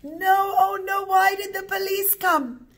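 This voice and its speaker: A woman's voice: very high-pitched, fairly even intonation, slow pace, a bit bright, pitched above the performer's own voice, exaggerated voice. A woman storyteller voicing a frightened elderly mother who wails and cries out in panic. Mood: panicked. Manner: panicked, wailing, shrieking. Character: frightened elderly mother screaming to drive police away.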